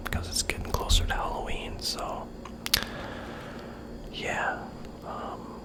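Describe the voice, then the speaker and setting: Slow soft-whispering tired storyteller. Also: rough recording. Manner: rambling, filler-heavy, soft-spoken. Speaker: vlogger.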